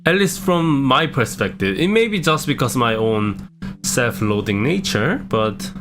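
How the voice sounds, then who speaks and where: A young man with a non-native English accent and a low-pitched voice, talking about his streaming in a hesitant, self-deprecating way with many restarts. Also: very animated, measured pace. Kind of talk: casual reflective vlog. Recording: background guitar music.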